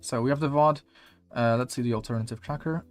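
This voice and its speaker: Rambling Polish-accented game coach. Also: male voice, mid-range voice, fast, faint music behind. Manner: rambling, filler-heavy, casual swearing. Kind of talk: casual gameplay video review.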